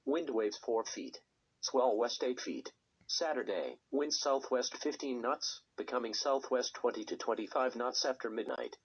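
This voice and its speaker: Husky synthetic male weather announcer. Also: medium pitch. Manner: measured, impersonal synthetic delivery. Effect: synthetic text-to-speech voice on weather radio. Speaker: automated weather radio announcer. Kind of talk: scripted weather forecast reading. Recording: dull lo-fi weather radio recording.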